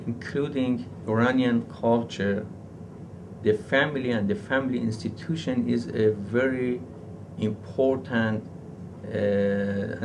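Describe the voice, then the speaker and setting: An Iranian university professor speaking in a measured way in an interview. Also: male voice, medium pitch, gravelly, noisy background. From Iran. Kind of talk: recorded interview.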